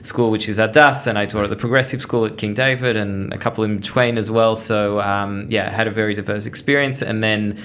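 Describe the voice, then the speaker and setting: A man's voice: on the low side, level tone, a little fast, hardly any pauses, fairly bright tone, slight background noise. A middle-aged man with an Australian accent, telling his story as a podcast guest in a chatty, self-deprecating way with frequent restarts. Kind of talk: casual interview storytelling.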